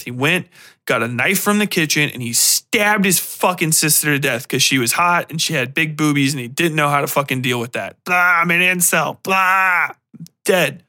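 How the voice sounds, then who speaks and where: A man hosting a podcast, giving a casual, animated and opinionated film rant in slangy, profane language. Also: a little high. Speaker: podcast host.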